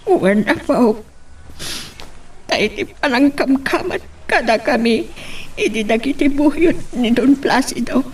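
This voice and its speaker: High-voiced anxious elder played by a woman, distant. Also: expressive, a little slow, a little mellow, husky, exaggerated voice. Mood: bitter, grave. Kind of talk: radio drama acting. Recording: distant from the microphone.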